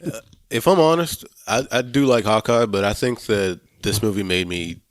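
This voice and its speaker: A man co-hosting a film podcast, giving his opinion casually in a measured, hesitant way. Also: fairly low pitch, dry recording.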